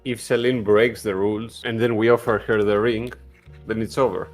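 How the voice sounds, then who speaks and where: Male tabletop player, low pitch, conversational strategy talk with quiet music bed. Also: level tone, moderate pace. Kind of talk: casual game strategy discussion.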